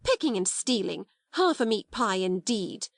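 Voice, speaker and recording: A woman's voice: mid-range voice, sing-song, a little slow, creaky, no room sound, studio quality, exaggerated voice. A woman voicing an angry, harsh middle-aged headmistress who scolds sharply. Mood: scolding.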